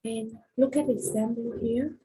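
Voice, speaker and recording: A woman's voice: fairly high pitch, steady tone, normal speed, warm tone, breathy edge, rough recording. A young woman in her twenties with a Vietnamese accent, teaching a recorded grammar lesson with many hesitations and fillers. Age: 20s. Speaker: English teacher.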